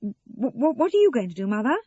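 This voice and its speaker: A woman's voice: fairly high pitch, big pitch swings, moderate pace, a little mellow, slightly rough, dry recording, muffled recording, pitched above the performer's own voice. A woman voicing a flustered, guilty adult daughter in an audio story, hesitant and placating. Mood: exasperated, hesitant.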